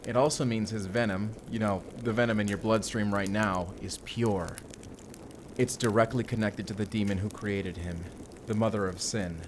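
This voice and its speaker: Male, actor as resolute monster hunter for audio drama; lowish voice, earnest and measured, noisy ambience behind. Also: steady tone, lightly acted. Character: determined Spanish vampire slayer, adult man, earnest protector. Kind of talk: cinematic audio roleplay acting.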